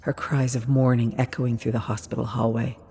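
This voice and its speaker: A woman narrating a dramatic scripted story in a measured, hushed and intense manner. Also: extremely low pitch, level tone, a little hiss or hum.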